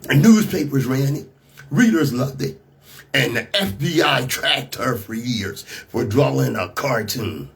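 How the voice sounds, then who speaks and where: American guy hosting talk radio, voice a bit rough, mid pitch, speaks with emphasis like he's making a case. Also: expressive, a little slow. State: slightly rough voice today. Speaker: radio talk show host. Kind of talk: radio monologue mixing scripted commentary and casual asides.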